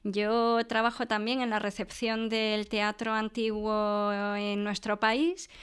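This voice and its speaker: A woman with an accent from Spain speaking as an interviewed professor, measured, articulate and thoughtful. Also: mid-range voice, flat delivery, fairly bright tone, clear, resonant tone.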